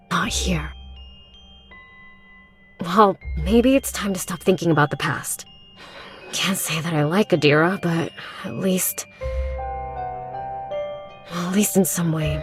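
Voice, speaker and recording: A woman playing a blunt, skeptical but protective young woman in a horror visual novel, with a frank, casual and sardonic manner. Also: fairly low pitch. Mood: concerned, firm.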